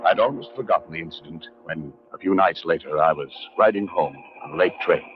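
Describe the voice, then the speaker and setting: A man's voice: low voice, a little fast, lightly acted. An actor playing a scholarly, sceptical man haunted by dread, acting and narrating in a 1940s radio drama. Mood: haunted, dramatic. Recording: muffled old radio recording, music behind.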